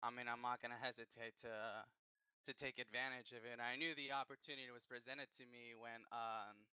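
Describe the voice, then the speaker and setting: Man, race driver, blunt, monotone, bright sharp voice, dry sound. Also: medium pitch, a little fast, clear, resonant tone, no room sound, dull audio. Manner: blunt and defensive. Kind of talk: post-race interview.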